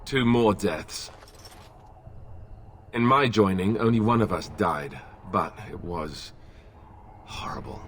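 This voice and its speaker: An actor playing an earnest, sober young warrior in a fantasy game, sympathetic in manner. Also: male voice, low-pitched, slow, pauses often, a bit bright, slight background noise. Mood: subdued, sympathetic. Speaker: game character, junior Grey Warden.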